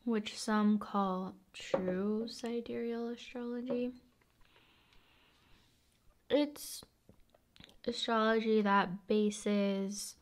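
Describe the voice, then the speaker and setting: A woman talks softly in a casual ASMR style at a very unhurried pace. Her voice sits at an average pitch and often drops into a whisper. She rambles gently, leaving space between phrases. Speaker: ASMR video host.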